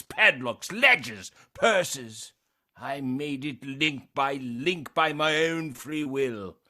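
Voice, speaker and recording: A man playing the mournful, anguished ghost of a dead business partner in a radio play, speaking in solemn, drawn-out declamation. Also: on the high side, sharp tone, exaggerated voice. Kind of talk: theatrical radio play acting.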